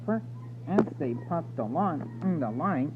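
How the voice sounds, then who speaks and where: Lively male storybook reader, phone-like sound. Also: fairly high pitch, measured pace, over music. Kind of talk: children's storybook narration. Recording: narrow phone-like sound with loud noise and hum.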